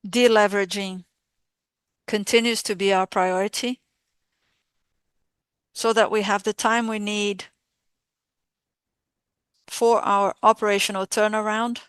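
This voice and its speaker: Female conference interpreter, smooth clear voice, dry close sound, formal financial delivery in measured segments. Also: medium pitch, no room sound. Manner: measured, halting phrasing in short segments. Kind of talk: formal earnings call presentation, spoken live.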